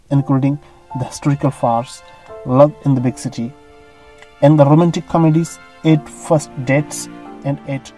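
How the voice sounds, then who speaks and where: A man narrating a scripted biography in a steady, even reading. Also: a little high, low-quality recording.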